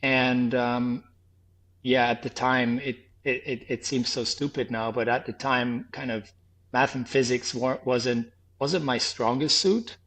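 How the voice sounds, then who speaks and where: A man in his thirties with a Swiss accent, softened by a faint New Zealand trace, reflects slowly on his path. He halts often, restarts his sentences and fills the gaps with ums. His voice sits at a middling pitch. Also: steady tone. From Switzerland. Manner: thoughtful, hesitant, many restarts and "um"s. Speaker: podcast guest, former health tech startup founder. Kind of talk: reflective interview answers.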